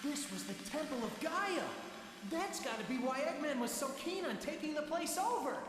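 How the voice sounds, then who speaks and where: A man's voice: very high-pitched, animated, moderate pace, noisy background. A man voicing a cocky, heroic young animal adventurer in a cartoon video game, casual and confident. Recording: music over the voice.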